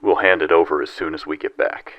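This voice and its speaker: An actor playing a cool, loyal psionic agent in a sci-fi audio drama, speaking in a measured, dutiful manner. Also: male voice, very deep voice, fairly even intonation, no echo, lo-fi sound.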